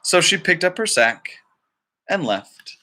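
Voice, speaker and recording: Bright, animated man reading a storybook. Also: sits high, takes it slowly. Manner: animated, dramatic phrasing. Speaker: storybook reader. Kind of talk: expressive children's read-aloud narration with casual asides.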